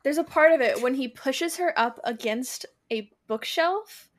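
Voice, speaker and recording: A woman with a fairly high voice talks as a podcast co-host. Her delivery is casual and unscripted, with a giggly, animated way of telling stories. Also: a little slow. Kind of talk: casual unscripted book chat.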